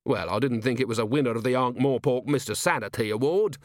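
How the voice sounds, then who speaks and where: A man's voice: mid-range voice, fast talker, no echo, studio quality, natural voice. A man voicing a cynical, world-weary middle-aged police commander in an audiobook, blunt and sardonic.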